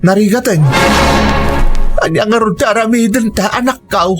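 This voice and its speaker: A man's voice: high-pitched, moderate pace, over loud music, natural voice. A man with a Filipino accent playing a troubled older father, weary and fatherly, in a radio drama style. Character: troubled, fatherly older husband, anxious about his wife. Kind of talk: Ilocano radio drama acting.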